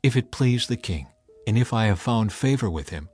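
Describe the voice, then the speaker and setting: A man's voice: on the low side, expressive, moderate pace, darkish tone, slightly rough, faint music behind. A man voicing a humble queen who pleads deferentially for her people in a dramatized Bible reading. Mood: pleading.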